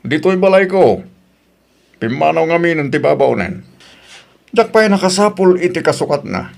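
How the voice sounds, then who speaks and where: A man's voice: fairly high pitch, sing-song, normal speed, vocal fry. A man with an Ilocano accent playing an inquisitive, easygoing older landlord in an audio drama. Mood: persuasive.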